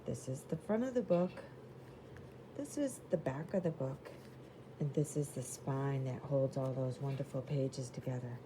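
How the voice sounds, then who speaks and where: A woman reading a picture book aloud for young children in an expressive, simple and deliberate way. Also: extremely low pitch, noisy background.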